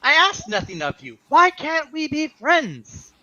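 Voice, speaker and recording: Jovial, kind-hearted nephew for a radio play, man acting: high, hugely animated, theatrical, slightly lo-fi recording. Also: measured pace, a crowd in the background, exaggerated voice. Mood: hearty, good-natured. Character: cheerful, good-hearted nephew of a miser, Fred. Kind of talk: dramatic play reading, radio-play style.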